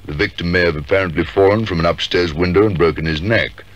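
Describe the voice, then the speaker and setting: An actor playing an authoritative police detective, formally introducing a case in a 1950s radio drama. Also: male voice, deep voice, close to an everyday voice. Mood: grave. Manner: formal, measured. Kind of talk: scripted 1950s radio narration. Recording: dull, hissy 1950s radio recording.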